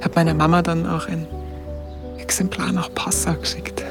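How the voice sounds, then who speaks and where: A woman's voice: lowish voice, flat delivery, moderate pace, over music, natural voice. An actress playing a photographer recalling her wartime youth in a radio drama, with a Bavarian accent and a hesitant, colloquial, confiding way of telling it. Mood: reflective, confiding. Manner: hesitant, colloquial, reflective storytelling. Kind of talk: intimate first-person radio drama recollection.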